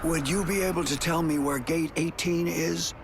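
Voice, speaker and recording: A man's voice: on the high side, steady tone, normal speed, noisy background, natural voice. A man playing a kindly, courteous old traveler with a folksy, genial manner in a video game scene. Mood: polite. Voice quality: creaky elderly voice. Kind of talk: dramatic video game cutscene acting.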